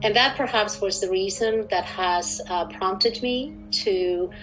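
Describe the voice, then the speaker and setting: A woman's voice: medium pitch, slow, a bit bright, soft background music, rough recording. A woman in her sixties from Spain with a Spanish accent, giving formal interview answers as an expert guest. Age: 60s. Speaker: interview guest, clinical research director.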